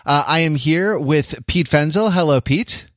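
Podcast host, male, slightly high voice, theatrical and playful, stop-start delivery, very dry recording. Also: a little slow, a bit bright, no echo. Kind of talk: casual panel podcast talk.

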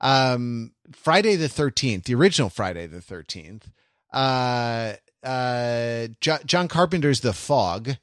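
A man in his forties chatting casually as a podcast co-host, with a halting, rambling delivery. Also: mid-range voice, animated, no room sound, studio quality. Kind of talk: casual chat.

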